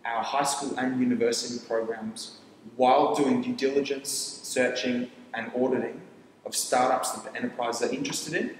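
A young man talks loosely through his business in a roomy studio, the echo ringing out with a long reverb tail. He jumps between lists and restarts phrases as he goes, and a faint hiss sits under his mid-pitched voice. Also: fairly even intonation. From Papua New Guinea. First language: Tok Pisin. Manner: rambling, restarts phrases, lists ideas rapidly. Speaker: startup founder. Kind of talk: casual interview talk. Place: studio set with armchairs. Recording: echoey room with long reverb.

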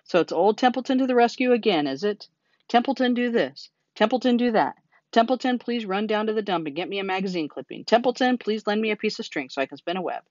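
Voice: mid-range voice, animated, fairly quick, no echo, natural voice. A sarcastic male rat from a children's storybook, acted out by a narrator, full of self-pity and grievance. He mocks and grumbles in exaggerated complaint. The recording is close and dry, a little dull. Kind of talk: children's storybook character reading.

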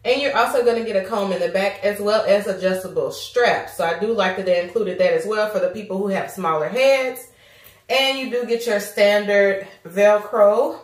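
A woman's voice: medium pitch, moderate pace, far from the mic. A woman in her thirties with a nasal voice from allergies, chatting casually to viewers while unboxing and reviewing a product at home. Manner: chatty, addresses viewers as girl and honey. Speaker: beauty vlogger. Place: small room at home, indoors. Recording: roomy echo, voice off-mic.

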